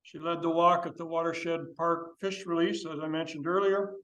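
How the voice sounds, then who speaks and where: A man hosting a club meeting, reading a measured, formal introduction of the next speaker. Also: a little high, fairly even intonation, fairly bright tone. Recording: echoey meeting room.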